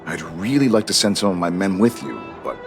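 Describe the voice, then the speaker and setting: A man voicing a stern but fair military guard captain in a video game, earnest and deliberate. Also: deep voice, animated, over music. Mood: frank, concerned.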